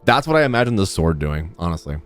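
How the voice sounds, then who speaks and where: A man's voice: low voice, very animated, quick pace, clean tone, soft background music. A young man giving casual, slangy live commentary as he reacts to a game he is streaming, close on the mic. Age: young adult. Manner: slangy, chatty. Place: small indoor streaming room. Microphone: desk microphone close to mouth.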